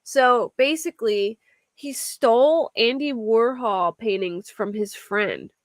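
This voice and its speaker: A woman's voice: fairly high pitch, takes it slowly, no room sound, clean studio sound. A young woman with a Philadelphia accent, casually reading out a crime story and chatting with her livestream viewers. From Philadelphia area. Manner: chatty, reads a story aloud while answering chat, laughs often. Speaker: true crime livestream host.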